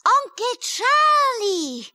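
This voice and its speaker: Plucky young girl for a children's radio play: very high, lively, slow and wondering delivery. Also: dry recording, studio quality, full character voice. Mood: excited.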